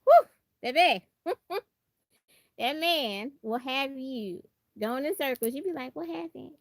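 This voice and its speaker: A woman's voice: high voice, expressive, slow, dry recording, studio quality. A woman from Brooklyn with an American, country Southern-tinged accent, chatting casually and expressively as a livestream host, repeating phrases for emphasis. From Brooklyn, New York. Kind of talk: casual chat.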